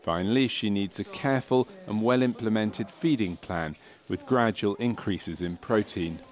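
Man, lowish voice, scripted documentary narration, measured, factual, clinical delivery. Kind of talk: scripted narration of a medical training film.

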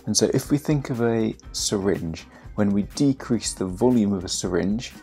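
A young man with a lowish voice explains biology patiently and clearly, as a teacher would. He is recorded in a home kitchen with a roomy echo. Soft music plays faintly underneath. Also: moderate pace. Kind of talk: educational explainer.

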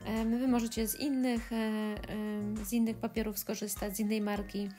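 A woman talking through a step-by-step scrapbooking tutorial, pausing often with filler sounds. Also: medium pitch, flat delivery, warm tone, faint music behind. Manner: hesitant, many filler sounds. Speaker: crafting tutorial host. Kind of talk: step-by-step craft tutorial voice-over.

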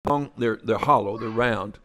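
A man's voice: lowish voice, moderate pace, a bit bright, dry recording. An older man giving earnest, informal Bible teaching, gesturing as he talks in a kitchen under renovation.

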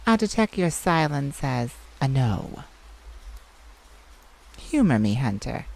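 A woman acting as a sympathetic vampire confidant in an audio roleplay. The voice is low and unhurried. The delivery is intimate and gentle, with a teasing streak and soft sighs between phrases. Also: low-quality recording. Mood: curious, gentle. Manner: slow, gentle, soothing, with sighs and pauses.

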